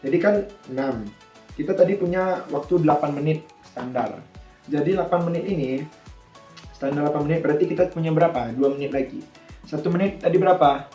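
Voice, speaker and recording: A man's voice: fairly high pitch, warm tone. A man giving an informal, explanatory tutorial, chatting and thinking aloud as he poses questions and answers them. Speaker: air traffic controller presenting a tutorial. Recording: echoey room with background music.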